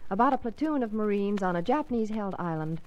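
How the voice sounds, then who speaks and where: A woman's voice: mid-range voice, animated, fast talker, a little mellow, lo-fi sound. An American woman reading a scripted 1950s radio promotional segment as a Hollywood reporter, polished in delivery.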